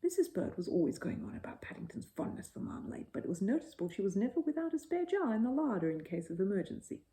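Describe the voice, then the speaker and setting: A woman narrating a children's bedtime story in an expressive storytelling style. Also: medium pitch, fast.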